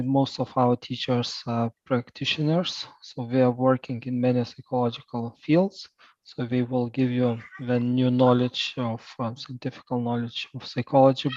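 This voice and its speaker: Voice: medium pitch, darkish tone, no room sound, lo-fi sound. A university admissions representative answering applicant questions patiently and helpfully, with frequent hesitations.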